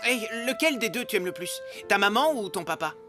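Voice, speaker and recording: A woman performs a young cartoon armadillo in a medium-pitched voice. The character is a protective elder sibling, firm and plain-spoken but caring, with clear music playing behind. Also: big pitch swings, fairly quick, lightly acted. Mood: reassuring. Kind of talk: French-dubbed children's cartoon acting.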